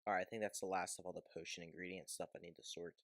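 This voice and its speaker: A man's voice: sits low, fairly even intonation, rapid-fire, a bit bright, no echo, studio quality. A man giving casual, informal commentary on a game video as its host, rambling a little as he goes.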